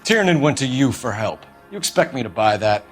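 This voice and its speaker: A man acts out a stern young American detective in a crime game. His pitch is mid-range and swings widely as he presses suspects in clipped, accusing lines. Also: big pitch swings, brisk, faint music behind, natural voice. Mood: probing. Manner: clipped, forceful interrogator. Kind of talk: video game voice acting, 1940s crime drama.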